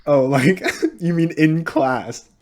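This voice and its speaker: A man's voice: a little high, slow pace, a little mellow. A young man hosting a podcast in casual chat, upbeat and laughing often, with lots of fillers. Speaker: podcast host.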